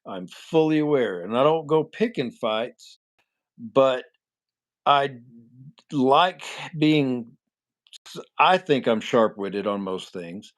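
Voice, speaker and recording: A man's voice: mid-range voice, expressive, slow pace, pauses often. A man in his forties with a nasal voice, hosting a livestream and answering chat questions in a blunt, rambling way that is full of swearing. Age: 40s. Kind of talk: casual live chat.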